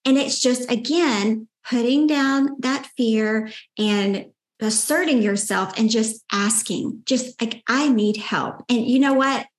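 A woman's voice: a little high, takes it slowly, reverberant. A woman with a Southern accent and a sweet-toned voice, telling her story as a guest in a casual interview, candid and determined. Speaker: guest, founder and CEO.

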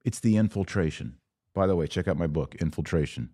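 A man's voice: low voice, animated, fairly quick, dark tone, no room sound, clean studio sound. A man hosting a podcast, giving opinion commentary in an emphatic, didactic way with rhetorical check-ins. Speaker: podcast host. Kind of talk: opinion commentary, monologue to live viewers.

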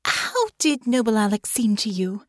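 A woman voicing an anxious, wounded young noblewoman, high and plaintive. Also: moderate pace, no echo, clean studio sound, natural voice. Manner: plaintive, rising, tearful pleading.

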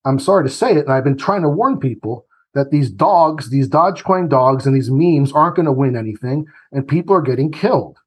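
A man's voice: medium pitch, lively intonation, fairly quick, few pauses, husky. A man giving his opinions as an interview guest, emphatic and argumentative, often acting out quoted voices. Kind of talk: opinionated political commentary.

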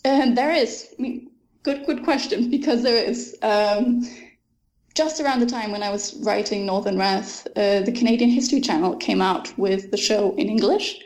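A woman's voice: medium pitch, normal speed, darkish tone, low-quality recording. A young woman, an author answering interview questions thoughtfully and enthusiastically, with frequent ums.

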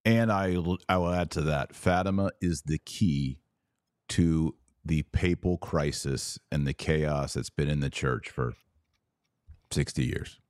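Blunt podcast host guy, really deep voice, super dry recording, just chatting. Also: unhurried, a little mellow, dry recording. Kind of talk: casual conversational commentary.

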